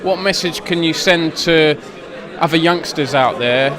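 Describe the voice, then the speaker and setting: A man's voice: a little high, a little slow. A man interviewing players at an event, asking friendly, rambling questions. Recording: noisy crowd behind. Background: crowd chatter.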